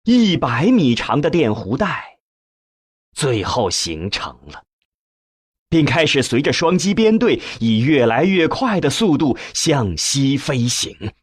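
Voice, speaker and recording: Slow, expressive husky male audiobook narrator. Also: medium pitch, no room sound, studio quality. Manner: measured, deliberate phrasing. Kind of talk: scripted audiobook narration, dramatic storytelling.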